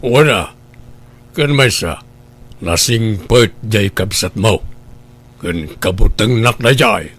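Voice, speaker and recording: An actor playing a pushy, scheming husband who lectures and demands, in an Ilocano radio drama. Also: male voice, fairly low pitch, expressive, slow pace, long pauses, fairly bright tone, breathy edge, heavily acted. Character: Lando, pushy scheming husband, demanding money from brother-in-law.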